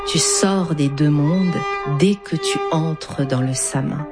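A middle-aged woman with a Persian accent, a dancer speaking thoughtfully in an interview and reading poetry, often pausing with hesitations. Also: low voice, over loud music. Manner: slow, thoughtful, many hesitations. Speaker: dancer and whirling dervish guest.

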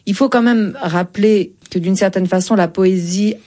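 A woman interviewer asking formal questions in a measured, polite, carefully phrased way. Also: mid-range voice, mellow.

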